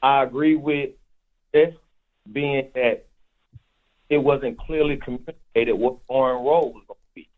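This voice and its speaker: A man on a thin, phone-like line with a medium-pitched voice. He is a listener calling a radio show and gives his view in casual talk. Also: steady tone, unhurried, pauses often. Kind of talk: casual opinion on a call-in. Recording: muffled tinny phone line.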